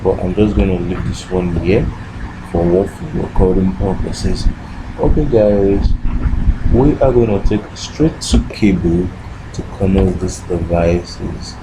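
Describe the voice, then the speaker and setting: A man's voice: sits low, measured pace, noisy background. A man giving an informal step-by-step tech tutorial as an instructor, often posing short questions to himself and answering them. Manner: asks and answers own questions with repeated "what".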